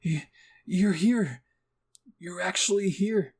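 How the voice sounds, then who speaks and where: A man voicing an emotional, earnest teenage boy prisoner in an anime fan fiction reading, halting and shaken. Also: high-pitched, a little mellow, slightly rough, voice pushed up, exaggerated voice. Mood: disbelieving.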